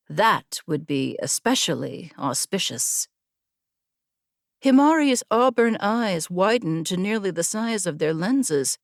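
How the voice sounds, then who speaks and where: A woman reading a scripted story as an audiobook narrator, with measured, expressive storytelling. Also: medium pitch, dry recording, clean studio sound. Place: close, dry studio recording.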